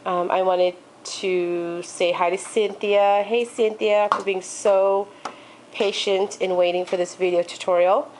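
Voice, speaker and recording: A woman's voice: mid-range voice, level tone, measured pace, a little mellow, a little hiss or hum, rough recording. A woman with a congested, stuffy voice hosting a casual crafting tutorial, chatty, with frequent ums and okays. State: congested from allergies.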